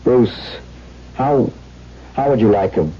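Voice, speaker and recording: An actor playing a brooding, haunted aristocratic husband in a 1970s radio drama, speaking formally and earnestly. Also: male voice, mid-range voice, takes it slowly. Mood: pleading. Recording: dull vintage radio broadcast, music behind.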